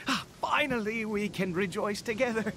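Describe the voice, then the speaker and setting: An actor playing a grief-stricken, fanatical nobleman in a video game, speaking theatrically and swinging between hushed and exultant delivery. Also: high-pitched, moderate pace. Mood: elated, sly. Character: grief-stricken, fanatical nobleman father devoted to a dark power. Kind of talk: dramatic video game voice acting. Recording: loud rain and thunder noise behind.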